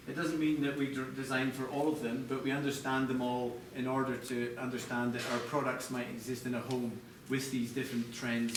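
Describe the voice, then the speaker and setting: Echoey, distant man giving a design presentation. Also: mid-range voice. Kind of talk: live product presentation to a group. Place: large echoey indoor venue, apparently a hotel. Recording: echoey noisy room, distant mic.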